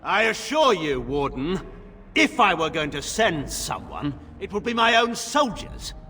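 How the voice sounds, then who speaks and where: A man's voice: high-pitched, sing-song, measured pace, sharp tone, exaggerated voice. An actor playing a proud, suspicious nobleman general in a video game, declaiming with sardonic, theatrical oratory in a very reverberant hall. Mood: cold, defiant.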